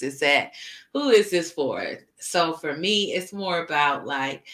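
A woman in her early forties co-hosting a podcast, chatting in a relaxed way with frequent fillers. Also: low-pitched, far from the mic. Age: 40s. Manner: laughs often, relaxed, uses fillers. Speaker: podcast co-host. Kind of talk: casual chat. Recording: echoey room, off-mic.

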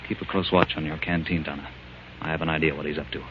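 A man's voice: extremely low pitch, fairly even intonation, quick pace. An actor playing a stoic, protective man stranded in a wasteland, firm and earnest in old-time radio style. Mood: tender, weary. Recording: dull, hissy vintage radio recording.

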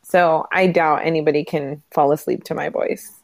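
A woman with a hoarse, nasal voice chatting casually as a podcast co-host, quick to laugh. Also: sits low, steady tone, no echo. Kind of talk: casual chat. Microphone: remote call.

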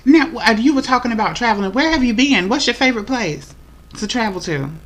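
Chatty, encouraging host with a bright voice. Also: medium pitch, animated, a little fast. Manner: chatty, encouraging, repeats itself. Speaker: podcast host. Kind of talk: casual interview chat.

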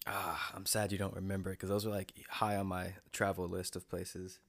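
A young man from North Carolina hosting a podcast, chatting in a relaxed, joking way with his guest. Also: low-pitched, brisk, gravelly, dry recording. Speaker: podcast host. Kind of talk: casual interview chat.

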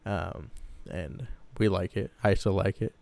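A man's voice: sits low, a little slow, creaky, darkish tone, no room sound. A man in his twenties from Iraq, a podcast co-host, casually telling his own story in a loose, hedging way. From Baghdad, Iraq. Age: 20s.

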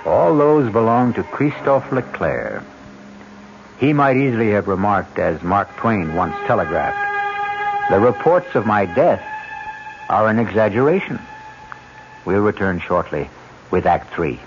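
A man's voice: lowish voice, animated, unhurried, pauses often. A man hosting and narrating a radio drama in a wry, grandiloquent, theatrical way. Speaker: host and narrator. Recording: muffled old radio recording. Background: music.

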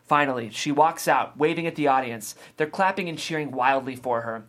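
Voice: medium pitch, fairly quick. A narrator reading screenplay stage directions aloud at a table read.

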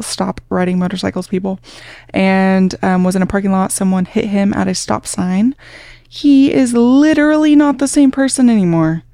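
Woman, podcast host, creaky voice, mid pitch, dry close sound, frank casual chat, nurse talking shop. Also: a little mellow, no room sound. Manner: frank, conversational, swears casually.

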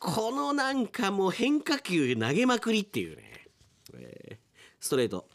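A man's voice: sits high, unhurried. A man hosting a radio aftertalk, reading listener mail in casual chat and reacting with amused asides. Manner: animated, reacts with amused asides to what is read.

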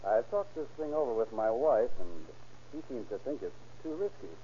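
A man's voice: mid-range voice, lively intonation, normal speed, mellow, close to an everyday voice. An actor playing a cocky, joking husband who schemes to fake his death, in an old radio crime drama. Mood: breezy, cocky. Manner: breezy, joking, chatty. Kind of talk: 1940s-style radio crime drama acting. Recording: muffled, noisy old radio recording.

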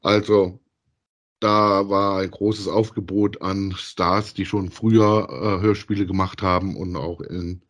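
A middle-aged man co-hosting a casual review podcast, speaking in a halting, hesitant way. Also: low voice, little pitch movement, fairly bright tone. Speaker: podcast co-host. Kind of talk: casual review chat about audio dramas.

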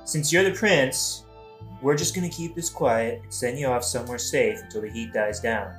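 A blunt, colloquial king acted by a man, dry and scheming, in a comic audio play. His mid-pitched voice sits in a roomy space over faint music. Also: normal speed, fairly bright tone, lightly acted. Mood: exasperated, stern. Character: exasperated, scheming male king and stepfather. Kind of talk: modern-language satirical audio play acting.

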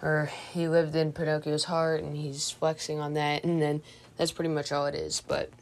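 Woman chatting on a movie podcast, pretty low voice, hesitant and unscripted. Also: little pitch movement, moderate pace, creaky. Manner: hesitant, informal, thinking aloud. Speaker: podcast host. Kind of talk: casual movie news chat.

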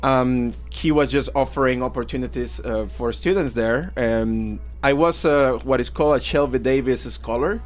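A man's voice: medium pitch, normal speed, hardly any pauses. A man in his thirties from Venezuela with a Venezuelan Spanish accent, talking through his story as a guest on a radio interview, full of fillers and small laughs. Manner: friendly and reflective, with frequent fillers and laughs. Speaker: guest artist. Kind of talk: casual interview.

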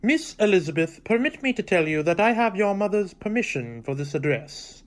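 A man's voice: sits high, sing-song, moderate pace. A pompous clergyman suitor, played by a man in a radio play. He speaks in formal little speeches, stiff and thoroughly pleased with himself. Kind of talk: theatrical radio drama acting.

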